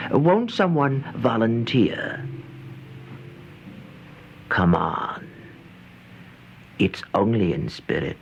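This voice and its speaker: An actor playing an eerie séance host in old-time radio horror, coaxing and hesitant, with hushed asides. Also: male voice, fairly low pitch, gravelly, exaggerated voice. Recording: dull vintage radio recording, music behind.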